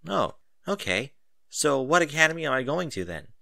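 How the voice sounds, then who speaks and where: Man doing a brash, high-pitched excitable boy. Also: normal speed, vocal fry, no echo, studio quality, exaggerated voice. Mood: eager. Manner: loud indignant outbursts and eager questions. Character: brash, excitable, indignant young boy recruit.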